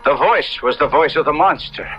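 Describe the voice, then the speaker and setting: A man's voice: fairly high pitch, moderate pace, breathy edge. A man acting a tormented young Italian nobleman trapped in a monster's body, narrating a 1970s radio horror drama in florid, theatrical diction. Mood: anguished, dramatic. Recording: dull vintage radio recording with loud music.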